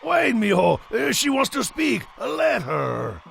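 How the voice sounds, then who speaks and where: A man voicing a devoted, adoring father in an animated film, with a gravelly voice. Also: extremely high pitch, slow, slight background noise, full character voice.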